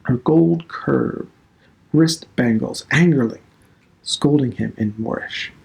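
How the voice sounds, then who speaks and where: A man reading a novel aloud as dramatic narration, deliberate and expressive. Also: mid-range voice, creaky. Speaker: literature instructor reading aloud.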